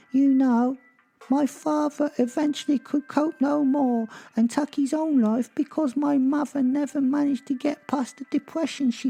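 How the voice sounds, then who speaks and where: A woman's voice: sits high, gravelly, warm tone. A woman voicing the reproachful ghost of a murdered toddler in an audio drama, with a childish, mournful, accusing delivery.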